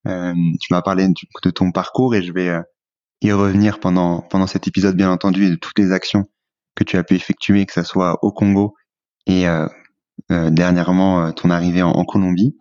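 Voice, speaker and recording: Deep-voiced podcast host, dry close sound. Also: male voice, level tone, fairly quick, darkish tone, no room sound, lo-fi sound. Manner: hesitant when unscripted, many fillers. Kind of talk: interview hosting.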